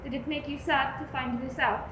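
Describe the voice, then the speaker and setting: A woman playing a calm female teacher who questions gently and patiently. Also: sits high, normal speed, lightly acted. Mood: gentle. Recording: echoey room with faint noise.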